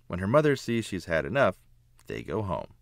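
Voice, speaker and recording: A man reading a children's picture book aloud with expressive, emphatic phrasing. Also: sits low, moderate pace, vocal fry, no echo, studio quality. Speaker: children's book reader, narrator. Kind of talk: read-aloud storybook narration.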